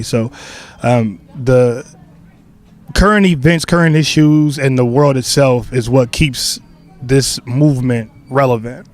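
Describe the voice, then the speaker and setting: Young male playwright, casual and rambling, filler-heavy, mid-pitched everyday voice. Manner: relaxed, loose, full of fillers and restarts. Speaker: playwright and creator of a stage play. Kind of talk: casual interview answers.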